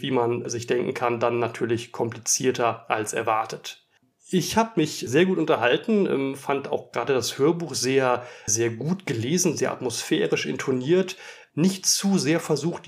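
A man hosting a podcast conversation, explaining in long, articulate sentences. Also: medium pitch. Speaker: podcast host. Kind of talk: podcast conversation with book review.

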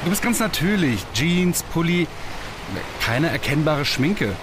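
A man's voice: a little high, expressive, unhurried, background noise. A man playing an awkward, nervous young stage mentalist in a science-fiction radio play, stammering and hesitant. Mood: flustered. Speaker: voice actor in an audio drama.

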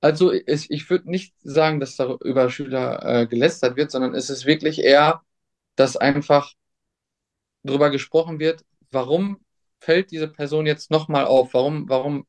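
A young man, a substitute teacher, answering interview questions in a hesitant, thoughtful way with many pauses and restarts. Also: on the high side.

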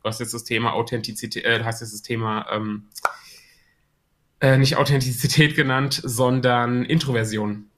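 A man's voice: mid-range voice, fairly even intonation, moderate pace, fairly bright tone. A man with a Hessian accent, a podcast guest talking about marketing in a thoughtful way with many filler sounds. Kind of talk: casual podcast interview.

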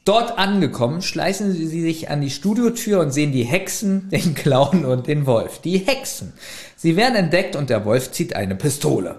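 A man co-hosting a podcast in a loose, chatty way. His voice sits fairly high and swings widely in pitch as he fires questions at his partner. He switches into reading plot summaries without losing that animated lilt. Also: normal speed. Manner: lively, keeps asking his partner questions. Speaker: podcast co-host.

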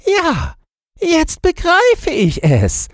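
A man voicing a hoarse, barking, grumbling and knowing chained yard dog from a storybook. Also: very high-pitched, wildly swooping pitch, very slow, no echo, clean studio sound, voice pushed up, heavily acted. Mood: sudden understanding. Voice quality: hoarse, yapping. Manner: barking exclamations. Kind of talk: storybook character voice.

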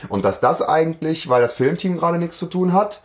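A man's voice: on the high side, a little fast. A man chatting casually as co-host of a fan podcast.

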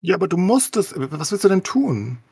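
A man's voice: sits high, big pitch swings, normal speed, a little mellow, slightly rough. A man in a casual, opinionated discussion as a podcast co-host, talking colloquially with English swear words thrown in.

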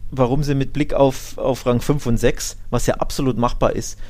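Mid-pitched man in casual football talk. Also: fairly even intonation, moderate pace, a little mellow. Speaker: podcast host.

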